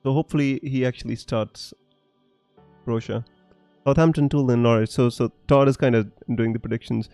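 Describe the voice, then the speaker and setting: A man's voice: medium pitch, steady tone, normal speed, dark tone, clear, resonant tone, no room sound, faint music behind. A young man from Punjab with a mixed, hard-to-place accent, chatting casually on a live stream, reading comments with many fillers and restarts. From Punjab, India. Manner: chatty, frequent fillers and restarts, laughs often. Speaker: fantasy football streamer.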